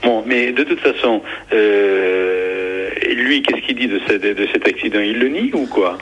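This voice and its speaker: Deep slow guy, unsure, husky, dull phone sound. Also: a bit bright. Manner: hesitant, bewildered. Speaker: detective.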